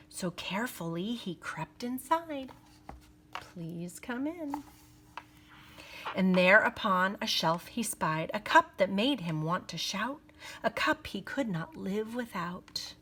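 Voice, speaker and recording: A woman reading a children's picture book aloud in an expressive, deliberate storytelling manner. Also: medium pitch, slight background noise.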